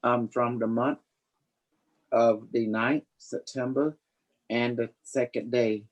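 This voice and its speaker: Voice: mid-range voice, steady tone, slow pace, lo-fi sound. Boastful psychic reader with rambling speech. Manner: boastful, playful; rambling, non-standard English grammar. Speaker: psychic reader, host of a livestream. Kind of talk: casual improvised psychic reading chat.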